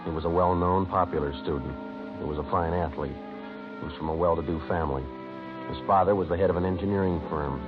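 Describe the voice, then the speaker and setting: A terse, businesslike police sergeant, played by a male actor in a vintage radio crime drama. The voice is very low and deadpan, every phrase clipped and factual. It comes through a muffled old recording with music behind it. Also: fast, lightly acted. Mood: flat, factual. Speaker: actor playing the narrator and lead detective. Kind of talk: 1950s radio police drama, clipped matter-of-fact narration.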